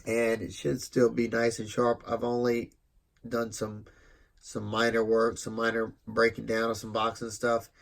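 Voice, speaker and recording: A man with a blocked, nasal voice from congestion talks through a knife review for his channel. His delivery is loose and conversational, with restarts and asides aimed at his viewers. His pitch sits in an everyday middle range. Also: completely flat delivery, unhurried, vocal fry. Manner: rambling, loose, self-correcting, speaks to viewers directly. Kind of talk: casual product review vlog.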